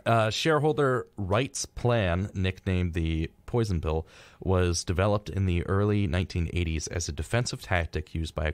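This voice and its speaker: A man's voice: deep voice, no room sound. A young man hosting a radio show, chatting casually and reading out facts in an informal, wry way. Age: young adult.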